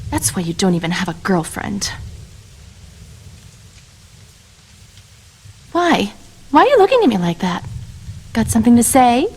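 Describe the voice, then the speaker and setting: A young woman voicing a moody, blunt high school girl in a video game, with a sarcastic, scolding manner. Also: sing-song, a little fast, a little mellow, husky. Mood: alarmed, scolding. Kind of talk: English video game voice acting.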